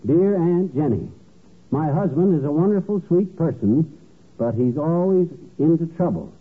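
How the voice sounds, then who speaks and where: An actor playing a boastful, childlike middle-aged husband, folksy and self-important, in an old radio comedy. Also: male voice, a little high, husky. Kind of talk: 1940s-50s radio comedy acting. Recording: tinny, band-limited old radio broadcast.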